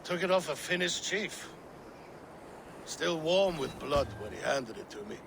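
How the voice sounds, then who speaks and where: A gravel-voiced Viking king, drunk and unwell, speaking slowly and heavily, rising and falling widely as he broods. Loud background noise runs under the game audio. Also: a little high, animated. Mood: mournful. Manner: slow, heavy, mournful storytelling. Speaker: game character. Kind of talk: video game cutscene acting.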